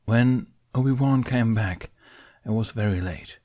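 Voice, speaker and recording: A man voicing an older male Jedi master who speaks in a measured, apologetic way. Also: mid-range voice, mellow, close to an everyday voice. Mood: remorseful. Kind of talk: fan audiobook reading.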